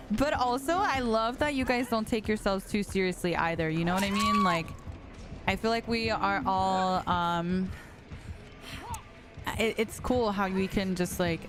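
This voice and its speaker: A woman in her late twenties chatting casually with her viewers while she plays a game, reading their messages aloud and answering them. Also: a little high. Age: 20s. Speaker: streamer. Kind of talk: casual live-stream chat while gaming. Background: background music.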